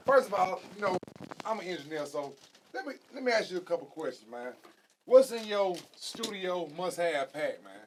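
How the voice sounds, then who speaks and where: A man's voice: high-pitched, sing-song, moderate pace, a little hiss or hum, rough recording. A man, a host and recording engineer, talking in eager, slangy banter. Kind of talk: casual chat.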